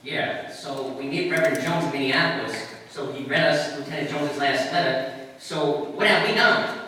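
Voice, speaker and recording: A man's voice: mid-range voice, moderate pace, sharp tone, slight background noise, lightly acted. A man playing a gloomy, grumbling police sergeant sidekick in old-time radio style, blunt and slangy. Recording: cavernous hall, distant mic, music behind.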